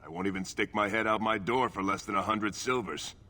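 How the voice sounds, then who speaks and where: An actor playing a gruff, cynical mercenary villager in a fantasy video game, blunt, grudging and bossy. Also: male voice, lowish voice, steady tone, brisk, sharp tone. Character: gruff, cynical male mercenary villager who haggles hard.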